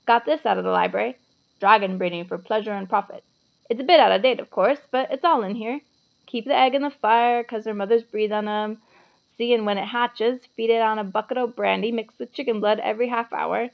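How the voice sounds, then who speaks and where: A woman's voice: on the high side, fairly quick, creaky, highs cut off. A woman voicing a burly, friendly male gamekeeper in a children's storybook, with folksy, clipped informal speech. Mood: proud, enthusiastic.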